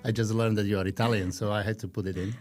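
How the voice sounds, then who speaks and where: A man in his fifties from Slovakia with a Slovak accent, talking earnestly and reflectively as a podcast guest, with frequent pauses. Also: lowish voice, steady tone, darkish tone, faint music behind. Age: 50s. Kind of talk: conversational interview.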